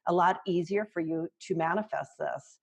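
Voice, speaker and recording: Woman interviewer, somewhat low-pitched, warm admiration, halting and searching. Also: vocal fry, slightly rough. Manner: admiring, hesitant, many fillers and restarts. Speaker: interviewer, host. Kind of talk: candid interview conversation.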